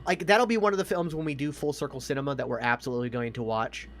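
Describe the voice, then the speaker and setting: A man's voice: medium pitch, fast, fairly bright tone. An American man in his thirties, a podcast co-host chatting casually and emphatically about films, with plenty of swearing.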